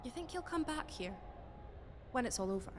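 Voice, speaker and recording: A woman's voice: sits high, lively intonation, normal speed. A woman playing an urgent, questioning young rebel in an audio drama, talking in short, hesitant phrases. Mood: anxious resolve. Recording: long reverb, faint background noise.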